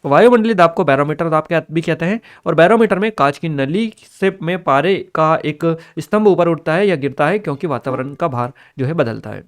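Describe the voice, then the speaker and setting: Higher-pitched man teaching. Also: animated, brisk, few pauses. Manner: instructional, reads notes aloud, checks in with listeners. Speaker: exam-prep teacher. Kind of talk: exam paper analysis lesson, reading out questions and explaining answers.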